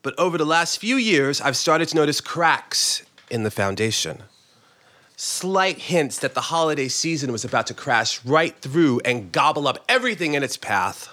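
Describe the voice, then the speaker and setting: Animated, bright-voiced male story reader. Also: a little high, normal speed. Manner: theatrical, acts out characters, breaks into chuckles. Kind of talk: dramatic comic audiobook narration.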